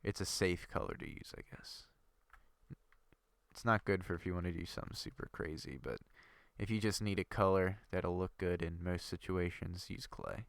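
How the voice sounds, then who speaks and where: A man's voice: sits low, moderate pace, gravelly, no room sound. A young man with a stuffy nose from a cold, rambling and thinking aloud with lots of fillers while commenting on his game. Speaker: gaming video creator. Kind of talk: casual gameplay commentary.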